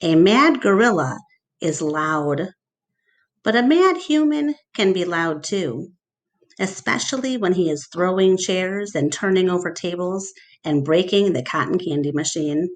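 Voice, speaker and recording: A woman teacher reading a storybook aloud to her class in a deliberate, expressive way, addressing the children directly. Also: lowish voice. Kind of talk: storybook read-aloud narration with questions to children.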